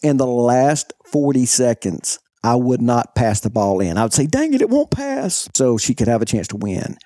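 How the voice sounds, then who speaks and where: A man's voice: medium pitch, very animated, normal speed, dark tone, no echo, studio quality. A man chatting casually, rambling through a story with frequent laughs and restarts. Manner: rambling storyteller, laughs often, coaches accent jokes. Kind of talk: casual chat.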